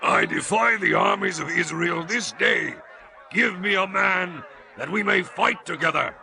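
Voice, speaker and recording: A man's voice: high-pitched, a little slow, vocal fry, pushed and bright, heavily acted. A man playing a boastful giant champion warrior in a dramatized scripture reading, bellowing defiant taunts.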